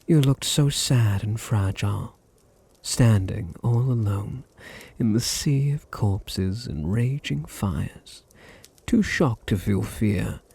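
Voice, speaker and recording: A man playing a possessive, teasing vampire master in an intimate ASMR roleplay, with a deep, husky British voice and a languid, condescending manner. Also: expressive, slow pace, warm tone, full character voice. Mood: possessive, menacing. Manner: languid, condescending, purring endearments.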